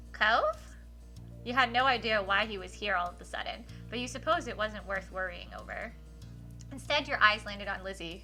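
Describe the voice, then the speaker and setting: A woman livestreaming a game, switching between informal chat with viewers and expressive read-aloud in a giggly, animated manner. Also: on the high side. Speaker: livestreamer hosting a visual novel playthrough.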